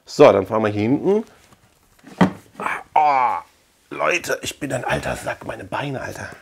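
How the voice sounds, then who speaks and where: A middle-aged man from Germany chats casually to camera, thinking out loud in a colloquial, rambling way. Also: medium pitch, expressive, unhurried, creaky, background noise. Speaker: collector and video channel host. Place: small indoor room lined with shelves.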